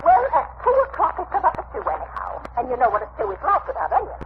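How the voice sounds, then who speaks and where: A chattery working-class english landlady, indignant, high fast voice, noisy old radio drama. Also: very animated. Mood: breathless, aggrieved. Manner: voluble, aggrieved, folksy. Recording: noisy, muffled vintage radio recording.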